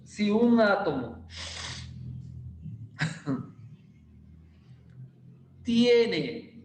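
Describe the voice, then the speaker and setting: A male chemistry teacher, giving a casual, playful class and teasing students as he slowly repeats quiz questions. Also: sits high, sing-song, a little mellow, a little hiss or hum. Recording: roomy echo.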